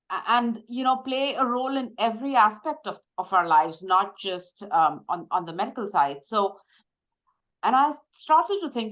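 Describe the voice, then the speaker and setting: A woman in her fifties from India, a medical device executive, talking through her career in a measured, reflective way with frequent pauses and fillers. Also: on the high side, a bit bright, low-quality recording. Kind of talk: conversational interview.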